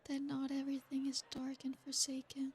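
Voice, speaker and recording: A woman voicing an ancient, possessive royal vampire in an ASMR roleplay. She speaks slowly and seductively, with soft whispered asides. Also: high voice, completely flat delivery. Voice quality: breathy, soft-spoken. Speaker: ASMR creator.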